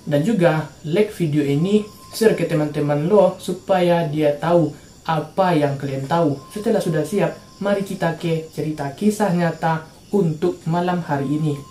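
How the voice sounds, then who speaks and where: A woman's voice: low voice, moderate pace, mellow, reverberant, a little hiss or hum. A woman from Indonesia telling true-crime stories for her channel in a casual, slangy narrating style.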